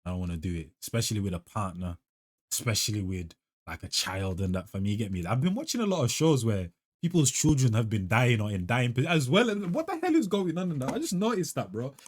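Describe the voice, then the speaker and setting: A man's voice: medium pitch, very animated, brisk. A young man, chatting casually as a music reaction host and musing aloud in heavy slang. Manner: slangy, musing, tags lines with "fam" and "bro".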